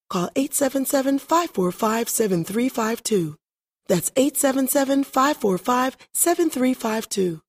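A woman reads a scripted radio promo as an announcer. Her voice sits fairly high and has a husky edge, delivering sales copy in a polished script style. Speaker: advertisement announcer.

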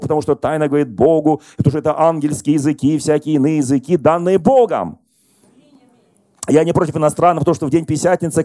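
A middle-aged man preaching a sermon as pastor, telling stories and questioning the congregation in a lively conversational way. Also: fairly high pitch, no echo, studio quality. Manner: conversational, animated storytelling to congregation.

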